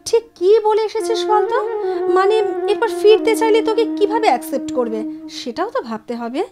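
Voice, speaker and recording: An actress playing a practical, concerned woman friend in an audio drama, with an earnest, measured, gently questioning delivery. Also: very high voice. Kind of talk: Bengali audio drama acting. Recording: prominent music over the voice. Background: loud music with woodwind.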